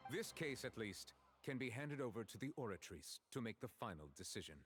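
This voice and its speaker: An actor playing a stern, formal judge in a video game, measured and commanding. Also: male voice, mid-range voice, animated, close to an everyday voice. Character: stern, formal, authoritative male judge of a court. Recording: game music clearly behind.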